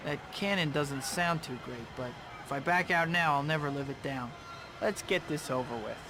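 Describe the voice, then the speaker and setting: A man's voice: on the high side, normal speed, background noise, close to an everyday voice. An actor playing a wisecracking young male superhero in a video game, wry, earnest and conversational. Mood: wry, musing. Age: young adult.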